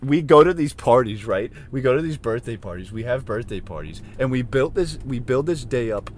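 A man's voice: mid-range voice, expressive, fairly quick. A man of twenty hosting a casual solo podcast from inside a car, his voice crackly because he is losing it, rambling and swearing in an irritated, self-deprecating way. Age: 20s. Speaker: podcast host. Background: faint car and street noise.

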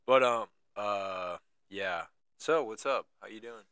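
Young male host with a naturally loud voice, loose and energetic, miked close in a dry room. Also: fairly low pitch, takes it slowly, smooth, no echo. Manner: energetic, loose, swears a lot, filler-heavy. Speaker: podcast host. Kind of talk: casual chat. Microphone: podcast microphone.

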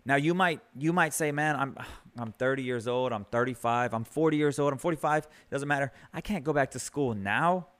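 A man's voice: medium pitch, moderate pace, few pauses, a bit bright. Earnest man giving a motivational talk. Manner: earnest, conversational, repeats words as he builds a point. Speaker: podcast host. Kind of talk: motivational monologue.

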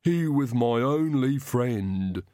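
Male narrator as monster servant, lumbering, mournful, slow-spoken, fantasy storybook character. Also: mid-range voice, expressive, mellow, dry recording, clean studio sound. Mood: grieving. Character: lumbering, grieving monster servant, sobbing over his dog.

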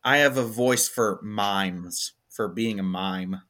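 Man, twenties, podcast co-host, rambling, filler-heavy, sharp bright tone. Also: on the low side, takes it slowly. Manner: rambling, hesitant, many fillers. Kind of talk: casual movie review chat.

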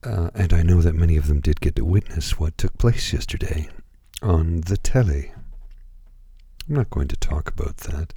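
A man with an extremely low, dark voice speaks very slowly as a radio host. His voice is hoarse and husky, and he pauses often with ums. The sound is dry and close. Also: no room sound. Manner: hesitant, many ums and pauses. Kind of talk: slow, calm radio presentation.

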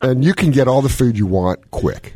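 A man's voice: mid-range voice, lively intonation, moderate pace, background noise. A man hosting a radio talk show, giving casual, folksy health advice with plenty of joking. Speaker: medical expert radio host.

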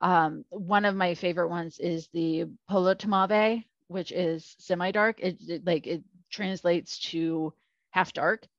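Woman podcast co-host, lowish voice, dry close sound, slightly dull audio, rambling, filler-heavy. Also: fairly even intonation, a little slow, no room sound. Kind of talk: casual podcast chat.

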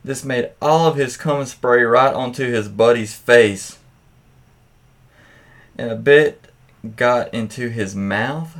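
Slow-paced man reading stories casually. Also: medium pitch. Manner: crude, swearing, candid reactions. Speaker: host reading stories aloud with commentary. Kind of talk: casual read-aloud with reaction asides.

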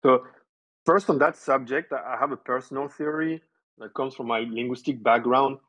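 Interview guest: man, French accent, husky, animated and talky. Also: medium pitch, normal speed, low-quality recording. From southern France. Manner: lively, stresses words up and down, many fillers like "you know". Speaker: guest, developer relations. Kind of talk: casual interview talk.

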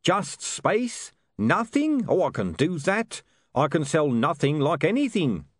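Here is a shifty middle-aged salesman from a comic storybook, acted out by a man. He wheedles and excuses himself in a matey way. His pitch rides high and jumps about, in a very dry recording. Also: sing-song, unhurried, dry recording. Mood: eager. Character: shifty, cheerfully unscrupulous middle-aged male street huckster.

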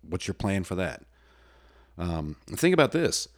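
A loud, very low-voiced middle-aged man from the United States hosts his own podcast alone. He rambles in a folksy, plainspoken way, stopping and restarting his sentences often. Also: sing-song, unhurried. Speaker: podcast host. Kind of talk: solo casual podcast talk.

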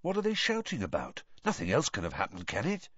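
A man voicing a protective middle-aged mother who speaks in hushed, sharp tones, in a dull lo-fi recording. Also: fairly low pitch, wildly swooping pitch, a little fast, husky, no room sound, heavily acted. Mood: worried whisper. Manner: hushed, then cross.

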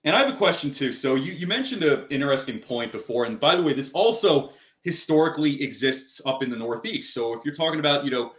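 A man's voice: medium pitch, expressive, fast, few pauses, a bit bright. A man hosting an interview, talking in long, rambling turns full of fillers. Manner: rambling, with many "you know" fillers. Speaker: host. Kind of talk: interview conversation. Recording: roomy sound.

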